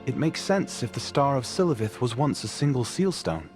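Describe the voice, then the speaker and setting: An actor playing an earnest, studious mage investigator in a video game, speaking in a thoughtful, articulate way. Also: male voice, medium pitch, very animated, normal speed, loud music behind the voice, close to an everyday voice.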